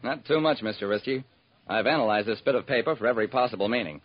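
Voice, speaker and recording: A man's voice: mid-range voice, quick pace, a little hiss or hum, close to an everyday voice. An actor playing a wry, hard-boiled private detective, narrating his case in clipped old-time radio style. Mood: probing. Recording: muffled vintage radio recording.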